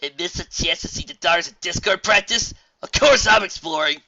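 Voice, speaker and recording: A man voicing a blunt, excitable young female pony in a storybook reading, given to exclamations. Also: high-pitched, slow pace, slightly rough, muffled recording, soft background music, pitched above the performer's own voice, heavily acted. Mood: excited.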